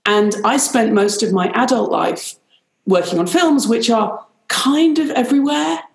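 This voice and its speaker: A middle-aged woman with a British accent talks in a chatty, animated way, often calling things rather lovely. Her voice carries a gravelly fry. She sounds like she's on a video call in an echoey room. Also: fairly high pitch, a little slow. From Ireland, raised in Pakistan and Nigeria. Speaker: business strategist guest. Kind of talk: conversational interview.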